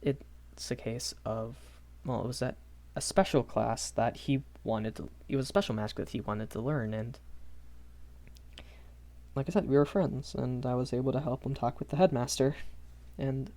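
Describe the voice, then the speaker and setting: A man's voice: medium pitch, moderate pace, dark tone, close to an everyday voice. A young man playing a shy, kindly magic academy professor in a rambling audio roleplay, stammering and hesitant. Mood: reminiscing, hesitant. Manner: hesitant, stammering, nervous chuckles, apologetic.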